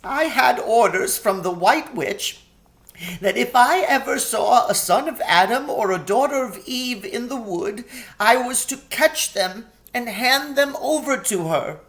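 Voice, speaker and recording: A man's voice: very high-pitched, lively intonation, a little slow, a bit bright, pitched above the performer's own voice, heavily acted, rough recording. A man voicing a timid, kind male faun in a storybook, weepy and guilt-ridden, pleading in an anguished stammer. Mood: guilty, distraught.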